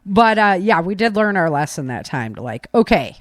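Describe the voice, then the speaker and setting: A woman's voice: fairly low pitch, very animated, normal speed, no echo, studio quality. A woman hosting a podcast, chatting casually and giving practical tips in an informal, folksy, rambling way. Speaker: podcast host.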